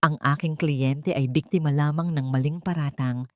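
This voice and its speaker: Woman voicing a slick low-voiced male lawyer. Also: steady tone, moderate pace, darkish tone, dry recording, studio quality, lightly acted. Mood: falsely sympathetic. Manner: formal, smooth, falsely sympathetic. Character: slick male defense lawyer with fake sympathy.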